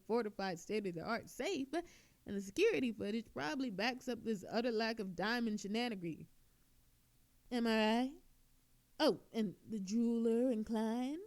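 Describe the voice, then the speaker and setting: A woman performs a cocky female thief in an audio drama, with a fairly high feminine voice. She is coy and theatrical, forever flirting and needling the detective who questions her. The delivery is playful and mocking, full of smug confidence. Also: darkish tone. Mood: smug, analytical. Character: smug, flirtatious, teasing woman thief under police interrogation. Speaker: voice actor in audio roleplay. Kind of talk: flirtatious audio roleplay monologue.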